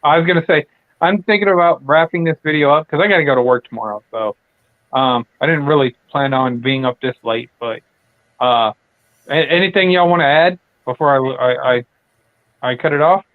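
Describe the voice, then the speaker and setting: A man hosts a loose late-night chat, cursing freely and stumbling through restarts. His voice sits a bit high. The audio is dry and tinny, like it came over a phone. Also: fairly quick, no echo. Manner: rambling, profane, many fillers and restarts. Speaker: channel host.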